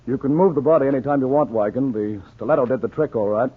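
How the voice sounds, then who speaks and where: A man's voice: medium pitch, quick pace, lightly acted. A man playing a matter-of-fact medical examiner in 1940s radio acting. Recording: muffled, narrow-band 1940s radio recording.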